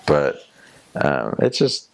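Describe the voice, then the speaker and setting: A young man, a returned missionary, telling stories from his mission in a casual interview, rambling a little with fillers and restarts. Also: takes it slowly. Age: young adult.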